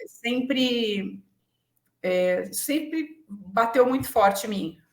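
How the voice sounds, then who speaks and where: A woman's voice: on the high side, drawn-out words. Brazilian woman in an echoey room. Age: 40s. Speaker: interview guest, business executive. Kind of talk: conversational interview, personal reflection. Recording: roomy, echoing sound.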